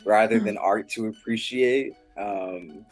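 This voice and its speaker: A man working as an interviewer, asking long, earnest questions in a measured conversational way. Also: on the low side, soft background music.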